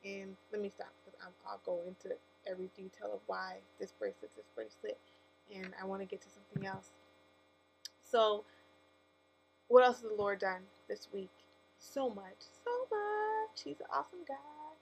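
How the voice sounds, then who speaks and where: A woman's voice: medium pitch, moderate pace, creaky, poor audio. A woman with a lisp gives a casual, candid personal testimony to camera, speaking emphatically and correcting herself as she goes. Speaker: vlogger with a faith-based message. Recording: steady mains hum and background noise.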